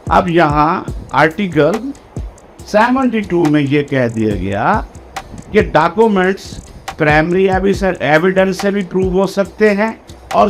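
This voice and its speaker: Man, law lecture, very slow delivery, deliberate phrasing, English legal terms mixed in, music in the background. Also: high voice. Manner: deliberate, spelling out key phrases word by word.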